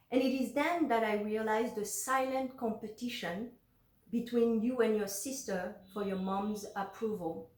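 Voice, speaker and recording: A young woman from Benin, a native French speaker, reading a personal letter aloud in a measured, earnest, confessional way. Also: mid-range voice, roomy sound. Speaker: journal vlogger.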